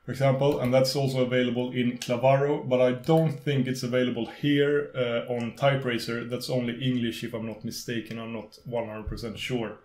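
A man's voice: mid-range voice, level tone, brisk, few pauses, darkish tone, echoey room. Swedish man rambling through a tech demo. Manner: rambling, many fillers and restarts. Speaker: tech video host. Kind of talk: casual screen-recorded tutorial.

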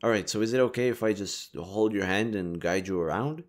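A man playing a kind, reassuring young stranger in an intimate audio roleplay, speaking gently, considerately and a little hesitantly. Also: lowish voice, brisk, lightly acted. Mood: considerate, explaining. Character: kind, reassuring young male stranger helping a distressed girl.

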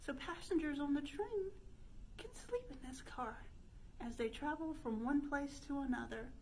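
A woman's voice: high voice, rough recording. A woman in her thirties leading an interactive children's storytime, speaking in an exaggerated sing-song way as she puts questions to young children. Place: indoor room by a stone fireplace. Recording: steady background noise.

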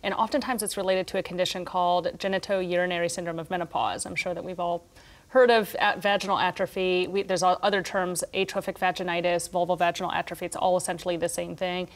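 She is a woman. Her voice sits a little low. She explains things in clinical terms, as a practitioner on a television panel would. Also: little pitch movement, fast talker. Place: TV studio round table. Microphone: lapel mic.

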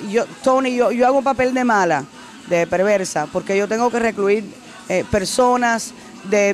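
A middle-aged woman from Cuba, a singer answering interview questions in a casual way, animated and repeating phrases for emphasis, into a handheld mic in a restaurant. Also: mid-range voice. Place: decorated restaurant, indoors. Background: faint music.

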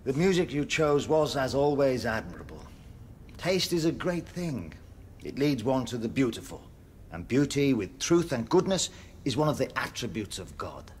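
An actor playing a zealous, pompous prison chaplain in a radio drama, with a declamatory way of preaching. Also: male voice, mid-range voice, very animated, moderate pace, darkish tone, a little hiss or hum, lightly acted. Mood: pious, kindly. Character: fire-and-brimstone prison chaplain, zealous, pompous, troubled by doubt.

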